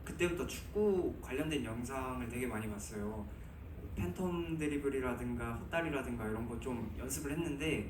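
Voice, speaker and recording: A man from Seoul, a film actor answering at a press conference with light self-mockery. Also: mid-range voice. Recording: echoey hall from far off, background noise.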